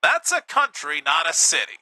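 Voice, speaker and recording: A man hosting a live game show with an upbeat, teasing manner, a little hoarse. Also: on the high side, wildly swooping pitch, normal speed, pushed and bright, no echo, poor audio. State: slightly hoarse.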